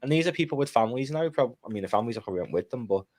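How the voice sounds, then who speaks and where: A man's voice: medium pitch, expressive, racing, a little mellow, no echo. A man, chatting casually and bluntly about football on a live fan stream. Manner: blunt, opinionated, swears. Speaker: podcast panelist.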